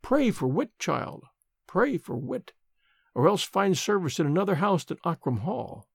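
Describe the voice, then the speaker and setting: A man voicing an ancient, sharp-tongued old nurse woman, speaking slowly in a high, quavering voice. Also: very animated, gravelly, slightly rough, dry recording, studio quality, exaggerated voice. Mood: scolding.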